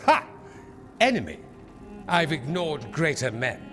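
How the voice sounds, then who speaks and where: A man's voice: on the high side, big pitch swings, measured pace, a bit bright. A man playing a witty, haughty nobleman mage in a fantasy game, with an arch, polished, theatrical delivery. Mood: proud. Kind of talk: theatrical cinematic game dialogue. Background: music.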